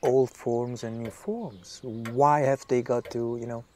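A man's voice: mid-range voice, steady tone, slow, darkish tone, slight background noise, natural voice. A man playing a mild, bemused writer in a naturalistic audio drama, hesitant and understated. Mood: exasperated, puzzled. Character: mild, bemused male writer, polite houseguest.

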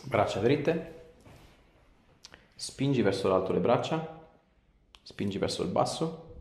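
A man's voice: mid-range voice, lively intonation, takes it slowly, vocal fry, darkish tone. A man in his thirties, a chiropractor giving short, informal instructions and questions during an exam. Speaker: chiropractor examining a patient. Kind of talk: casual clinical exam chat with instructions. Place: small indoor clinic room. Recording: echoey small treatment room.

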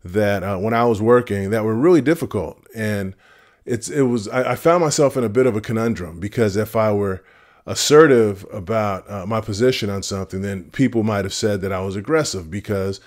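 A man in his fifties with a deep voice, giving earnest advice straight to camera in a casual vlog, with fillers and restarts. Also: fairly quick. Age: 50s. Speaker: retirement vlogger. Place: home room with shelves of vinyl records. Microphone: camera at close framing.

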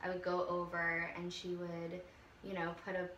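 Californian young woman guest, casual storyteller, bright tone, echoey room with faint hiss. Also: on the low side, monotone, normal speed, muffled recording. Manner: storytelling, lots of fillers like "um" and "like". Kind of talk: casual chat over tea. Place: small bright room with a tea table. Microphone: far camera.

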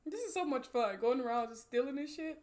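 A young woman giving casual, excitable movie reaction commentary full of slang and swearing. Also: high voice, brisk. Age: young adult. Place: small bedroom studio, indoors. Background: film soundtrack music.

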